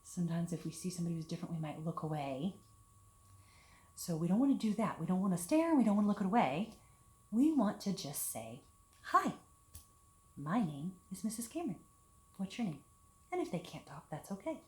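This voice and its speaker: A woman leading a children's storytime, talking and reading aloud in simple, deliberate phrases meant for young children. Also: lowish voice, sing-song. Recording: echoey room with background hiss.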